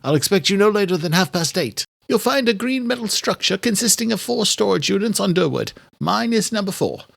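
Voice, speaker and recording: An obsessed killer speaks through a male narrator in a low-pitched, gravelly voice, close and dry. He is commanding yet intimate, a menacing suitor in a horror audiobook. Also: animated, fairly quick, fairly bright tone, no room sound, exaggerated voice. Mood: menacing devotion. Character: obsessed serial killer, menacingly courting a woman by letter.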